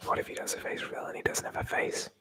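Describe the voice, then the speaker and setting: A man whispering through an ASMR livestream, rambling and joking as he reads viewer comments aloud. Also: fast talker. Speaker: ASMR streamer.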